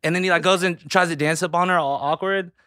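A man's voice: high voice, fairly even intonation, fast, pushed and bright, clear, resonant tone, no echo, clean studio sound. A man chatting casually as a podcast guest, telling rambling stories in a laid-back way.

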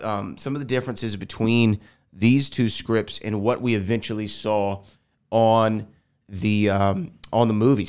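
A man's voice: fairly low pitch, smooth. A man hosting a solo podcast, rambling and correcting himself as he goes, with a nasal voice because he is getting over a sinus infection. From Houston, Texas. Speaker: podcast host. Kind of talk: casual solo podcast talk. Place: air-conditioned house.

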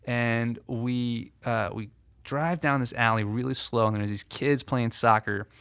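Animated man telling stories, dry sound. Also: mid-range voice, unhurried, gravelly, clear, resonant tone, no echo. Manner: animated storyteller, acts out his own nervous voice. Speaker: podcast guest, author. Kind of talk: casual conversation, storytelling.

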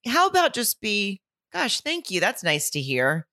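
A woman's voice: medium pitch, huge pitch swings, measured pace, pushed and bright, no echo, clean studio sound. A young adult woman with a Southern accent co-hosting a podcast, chatting candidly and laughing often. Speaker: podcast co-host. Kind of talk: casual recap chat.

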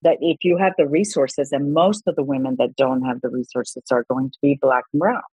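A woman's voice: rumbling low, steady tone, brisk, dark tone, slightly rough, no room sound, poor audio. A middle-aged woman from Colombia, a congressional candidate, speaking earnestly in a political interview and often restarting her words.

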